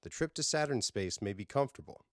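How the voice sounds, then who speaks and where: A man's voice: mid-range voice, expressive, fast talker, no echo, studio quality, voice pushed up, close to an everyday voice. A man voicing a female ship's doctor with precise, formal, cautionary phrasing in an audiobook.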